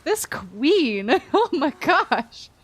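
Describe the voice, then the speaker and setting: A woman's voice: high-pitched, sing-song, extremely slow. A woman livestreaming a game with casual, playful, gushing commentary over game music. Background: video game music and dialogue.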